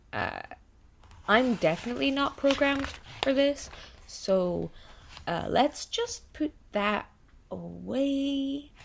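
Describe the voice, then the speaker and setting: Chatty, slow-paced sardonic AI helper played by a woman. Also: a little high, gravelly, exaggerated voice. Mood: awkward, shaken. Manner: conversational, sardonic, stammering when flustered. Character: chatty, flustered, sarcastic AI program wired into someone's brain. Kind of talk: audio drama monologue in casual second-person address.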